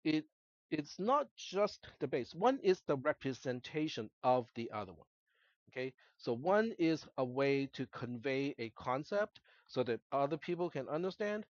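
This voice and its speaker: A man's voice: medium pitch, big pitch swings, moderate pace, dry recording, highs cut off. A man who is a native Cantonese speaker lecturing to a class as an instructor, explaining step by step and often checking in with short tag questions. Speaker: college instructor. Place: classroom, screen-recorded lecture.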